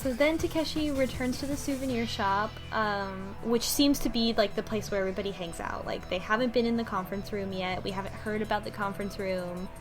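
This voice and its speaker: A woman's voice: mid-range voice, a little fast, hardly any pauses, over music. A woman co-hosting a podcast, casually recapping a game's story and acting out bits of dialogue in an informal way. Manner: informal, quotes characters in dialogue. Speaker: podcast co-host.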